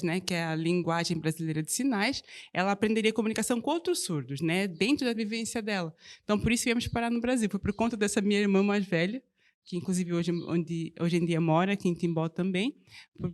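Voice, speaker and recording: A woman in her forties from Portugal, a studio interview guest telling her story in a relaxed, chatty way with frequent tag questions. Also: mid-range voice, fairly quick. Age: 40s. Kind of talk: casual interview talk.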